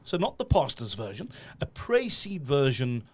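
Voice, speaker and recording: A man from South Africa hosts an interview, introducing his guest in a playful, upbeat way. His voice rises and falls a great deal, and he stumbles over repeated words as he warms up. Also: medium pitch, wildly swooping pitch, moderate pace. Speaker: podcast host. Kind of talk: interview hosting.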